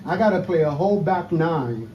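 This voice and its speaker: A man from Haiti with a Haitian accent, hosting a casual golf podcast interview in a lively, laughing way. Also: fairly high pitch, moderate pace, muffled recording, noisy background. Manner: animated, laughs easily, says amen. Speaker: podcast host. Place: country club patio. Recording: outdoor patio with music behind.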